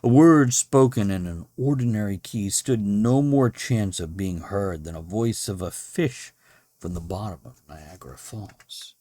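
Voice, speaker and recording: Deep, slow male story reader. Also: warm tone, no echo, clean studio sound. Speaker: podcast host and story reader. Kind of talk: dramatic, unhurried literary narration.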